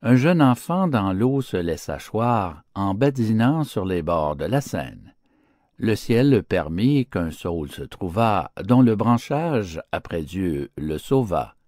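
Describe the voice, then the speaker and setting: A man narrating storybook fables in a theatrical, declamatory and expressive style. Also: fairly low pitch, takes it slowly, no room sound, clean studio sound. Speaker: audiobook narrator.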